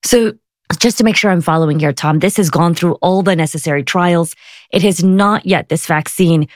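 A woman's voice: fairly low pitch, normal speed, no room sound, clean studio sound. A woman hosting an interview, asking careful questions and summarizing what she has heard. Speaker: interview host. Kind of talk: interviewing a guest.

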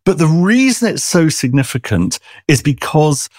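A man, a science journalist, explaining medical research in a chatty, lively way during an interview. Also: on the high side, moderate pace, dry recording, clean studio sound.

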